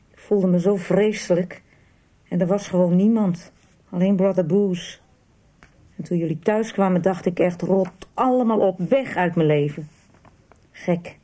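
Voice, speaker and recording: Troubled, mellow-voiced lonely mother. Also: fairly low pitch. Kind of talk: 1990s Dutch radio soap acting.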